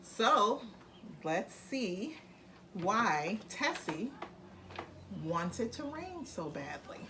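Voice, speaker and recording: A woman reads a picture book aloud to children at bedtime, slowly and gently. Her voice sits at an ordinary middle pitch. A faint background hum runs under the reading. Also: very animated. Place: home room with plants on the table.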